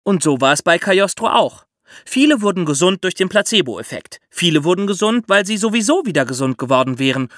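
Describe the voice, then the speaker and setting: A man hosting a podcast, explaining step by step in lively storytelling for children with theatrical emphasis. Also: sits high, brisk, no echo, studio quality.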